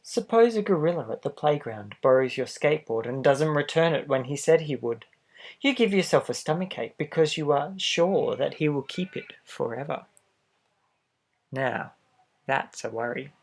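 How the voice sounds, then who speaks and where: A man reads a picture book aloud to young children in a gentle, expressive way, adding little asides straight to the listener. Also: fairly high pitch, moderate pace. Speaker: storybook reader for children.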